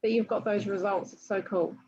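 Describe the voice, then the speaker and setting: A woman's voice: mid-range voice, fairly even intonation, brisk, lo-fi sound, a loud crowd. A woman hosting a group video call, encouraging everyone and calling them darling and honey. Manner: warm encouraging, calls people darling and honey.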